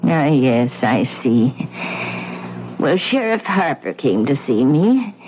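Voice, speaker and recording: Old widow, frail and grieving; slow, hesitant, lively pitch; old radio sound. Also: female voice, a little hiss or hum, exaggerated voice. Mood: weary. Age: older. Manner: hesitant, stammering. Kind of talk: 1970s radio drama acting. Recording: muffled old radio broadcast.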